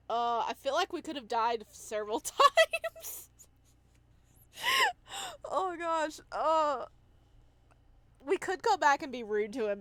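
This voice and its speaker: A woman giving excited, casual commentary as she hosts a video game playthrough, gushing and full of exclamations. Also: on the high side, no echo.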